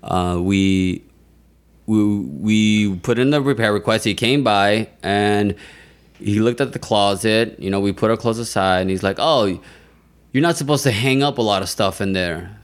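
A young man chatting casually on a podcast and telling animated, profane stories in a booming voice. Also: measured pace. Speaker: podcast guest.

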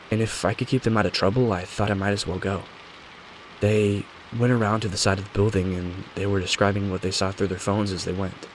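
A man's voice: lowish voice, close to an everyday voice, rough recording. A man voicing a determined young woman who explains things matter-of-factly in a scripted mystery story. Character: determined young woman recounting her brothers' investigation. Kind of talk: scripted audio story dialogue. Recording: steady rain noise behind voice.

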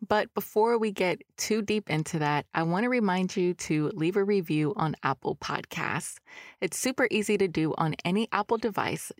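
A woman's voice: lowish voice, no echo, studio quality. A woman hosting a podcast, moving between scripted introduction and interview. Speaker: podcast host.

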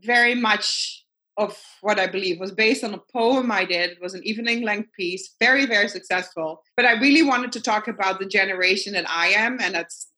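A woman's voice: mid-range voice, fairly quick, pushed and bright, low-quality recording. Reflective Dutch-accented woman on a call. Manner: reflective, thinking aloud, circling back to points. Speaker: guest artist. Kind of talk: casual interview chat. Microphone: video call.

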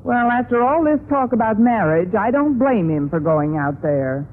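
An actress playing a wry schoolteacher who delivers dry, sardonic lines in a 1950s radio sitcom. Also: female voice, on the high side, lively intonation, normal speed, lightly acted. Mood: dryly amused. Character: wry, wisecracking unmarried woman schoolteacher. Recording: tinny, noisy old radio recording.

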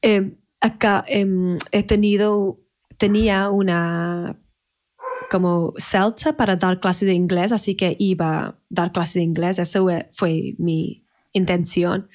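A woman in her thirties from England, a native English speaker with an English accent, talking casually as a podcast guest and often pausing to search for words. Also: mid-range voice, darkish tone. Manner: searching for words, restarts in a second language. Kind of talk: casual conversational interview.

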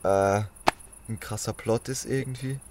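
A man's voice: on the low side, fairly even intonation, measured pace, background noise. A man in casual podcast chat, musing and thinking aloud in loose phrasing. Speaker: podcast co-host.

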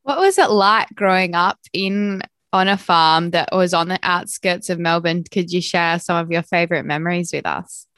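A woman's voice: on the low side, normal speed, a bit bright, no echo. A woman interviewer asking questions in a curious, conversational way. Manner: inquisitive, conversational.

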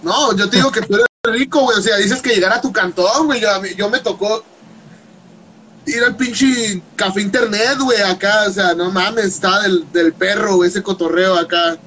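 A man, hosting a casual chat in a fast, slangy, playful way. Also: high voice, sharp tone, slight background noise, low-quality recording. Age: 30s. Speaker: host.

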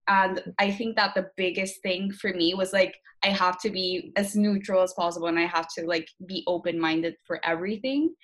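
A woman's voice: fairly low pitch, fairly even intonation, normal speed, few pauses, fairly bright tone. A young woman from Colombia, a university student talking casually on a panel, with plenty of fillers. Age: young adult. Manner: chatty, many fillers.

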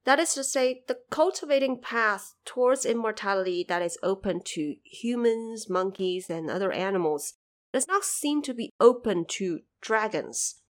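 A woman hosting a podcast, giving explanatory, lightly scripted commentary in a measured, didactic way with emphatic phrasing. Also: medium pitch, animated. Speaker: podcast host explaining background of an audio drama series.

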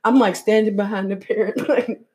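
A woman's voice: mid-range voice, fairly even intonation, moderate pace, warm tone. A woman in her twenties co-hosting a casual podcast chat, laughing often and swearing now and then. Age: 20s. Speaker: podcast co-host.

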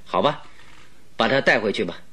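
An actor playing a shrewd, playful master detective in a Mandarin radio drama, with a leisurely, theatrical, self-assured manner. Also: male voice, on the low side, animated, normal speed, bright, forceful tone, slightly rough, exaggerated voice. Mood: relaxed, amused. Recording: faint music behind the voice.

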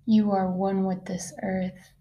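Female meditation guide; very relaxed, dark-soft tone, little pitch movement, gentle instructional delivery. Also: creaky. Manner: soft-spoken, unhurried, with long pauses. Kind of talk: guided meditation, slow soothing narration.

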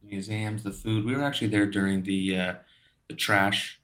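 A man from Brazil with a somewhat low voice, telling an animated, profane story as a podcast guest. He swears freely and acts out the people in his story. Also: normal speed. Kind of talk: casual chat.

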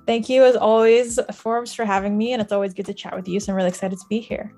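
A woman's voice: medium pitch, steady tone, fast talker, warm tone, soft background music. A young woman from Singapore and Shanghai speaking casually as an interview guest. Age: young adult. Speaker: podcast guest, music data analyst.

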